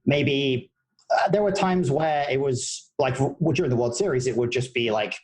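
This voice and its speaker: A man's voice: medium pitch, normal speed, darkish tone. Easygoing British man in his thirties. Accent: British, partly Americanized. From United Kingdom. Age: 30s. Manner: easygoing and thoughtful, with many restarts. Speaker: guest, poker player and coach. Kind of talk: casual podcast interview.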